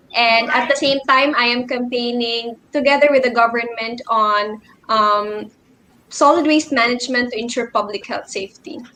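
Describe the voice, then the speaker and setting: A woman's voice: on the high side, pushed and bright, a little hiss or hum, rough recording. A woman in her twenties with a Filipino accent, a pageant candidate introducing herself upbeat over a video call. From Philippines. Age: 20s. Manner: upbeat, laughs readily. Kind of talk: self-introduction in an interview.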